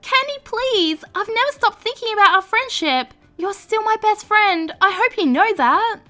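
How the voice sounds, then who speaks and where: A woman's voice: very high-pitched, wildly swooping pitch, unhurried, sharp tone, soft background music, voice pushed up, full character voice. A woman voicing a young woman who is a former best friend turned stepmother, speaking in a sweet, coaxing, pleading way.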